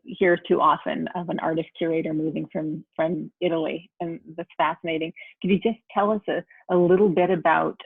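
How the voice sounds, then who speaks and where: A woman's voice: deep voice, gravelly, breathy edge, dry recording. A woman hosting a video call interview as an arts center director, curious and stumbling a little over her words. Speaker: host, artistic director. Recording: tinny phone-like audio.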